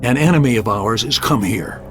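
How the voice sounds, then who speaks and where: An actor playing a serious, earnest warrior companion in a fantasy video game cutscene. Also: male voice, medium pitch, animated, normal speed, a bit bright, soft background music, natural voice. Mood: earnest, urgent.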